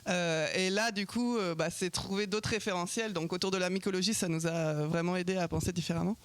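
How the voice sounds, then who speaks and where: A man interprets live at a talk. His voice sits high, and his delivery is rapid, informal and hesitant. A faint noise runs behind him. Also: steady tone.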